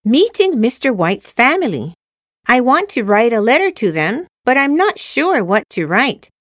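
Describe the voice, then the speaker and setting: A woman's voice: on the high side, sing-song, measured pace, a bit bright, dry recording, clean studio sound, exaggerated voice. A woman acting a cheerful, eager student girl in a scripted listening-test dialogue, enunciating carefully like a textbook.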